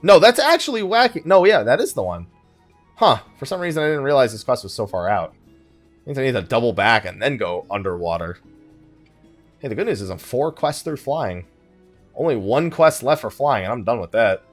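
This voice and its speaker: A man with a mid-range voice hosts a casual let's play. His pitch moves around a lot as he thinks aloud and mutters asides to himself. Game music plays softly behind him. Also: big pitch swings, normal speed. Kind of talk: casual gaming commentary.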